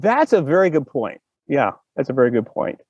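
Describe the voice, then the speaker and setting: A man hosting a casual discussion over a video call, explaining in an animated, rambling way and acting out reactions. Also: mid-range voice, brisk, slightly rough, no room sound, dull audio. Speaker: podcast host.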